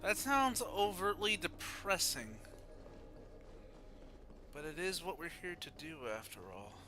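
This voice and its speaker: A man's voice: high-pitched, very animated, unhurried, pushed and bright, a little hiss or hum. A man giving casual live gameplay commentary as a streamer, muttering to himself and reacting to the game. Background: game music.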